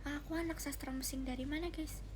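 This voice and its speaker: A woman's voice: high-pitched, fairly even intonation, fast talker, background noise. A young woman, chatting casually and giggly with viewers on a livestream, addressing them directly. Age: young adult. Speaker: idol livestreamer.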